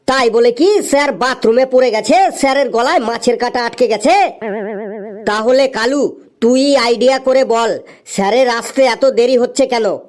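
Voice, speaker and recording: High-pitched excitable village schoolchild voiced by a woman. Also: moderate pace, close to an everyday voice. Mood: worried, bickering. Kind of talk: Bengali comedy cartoon dialogue.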